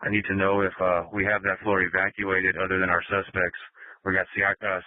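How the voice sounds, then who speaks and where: A man's voice: low voice, monotone, fairly quick, no room sound. A man speaking as a police officer, asking and reporting over police radio. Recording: narrow phone-like police scanner radio.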